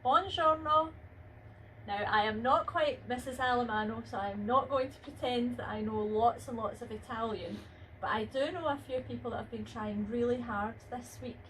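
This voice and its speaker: A woman teacher speaks to her pupils in an upbeat, encouraging way, her voice sitting a little high. She is recorded from some distance, with a faint hum of the room behind her. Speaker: school teacher leading assembly. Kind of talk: assembly address to pupils.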